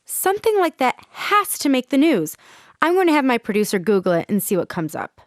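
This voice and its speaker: Concerned female show host for radio drama, high and very animated, inquisitive woman acting. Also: a little fast, no echo, clean studio sound.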